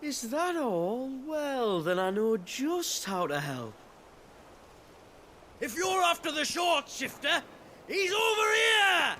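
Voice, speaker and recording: An actor playing a cocky, glib rogue posing as a merchant in a game cutscene, speaking in a sardonic, smooth-talking manner. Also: male voice, very high voice, wildly swooping pitch, slow pace, bright, forceful tone, slight background noise, full character voice. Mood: shouting. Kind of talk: acted game cutscene dialogue.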